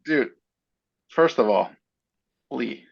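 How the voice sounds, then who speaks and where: A man's voice: mid-range voice, lively intonation, extremely slow, vocal fry, lo-fi sound. A man on a casual gaming stream, teasing the host with dry jabs. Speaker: stream guest.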